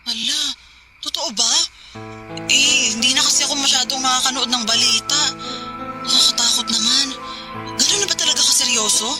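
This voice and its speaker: A woman playing a calm, sceptical working wife in a radio drama, casual and reassuring in her talk. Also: sits high, a little fast, pauses often, music in the background, lightly acted. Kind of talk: radio drama dialogue, phone call scene.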